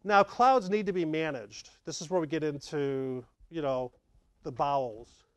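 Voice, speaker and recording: A man's voice: a little high, unhurried, smooth, no echo. A middle-aged man giving a conversational tech talk at a meetup, acting out small scenes for his audience. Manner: lively, conversational, acts out examples. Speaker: tech presenter. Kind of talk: meetup slide presentation. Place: dark meeting room with projector.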